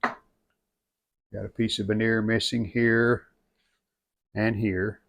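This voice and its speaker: A man giving an unscripted hands-on restoration walkthrough in plainspoken, halting short phrases. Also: on the low side, level tone, gravelly, fairly bright tone. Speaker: radio restorer presenting own channel.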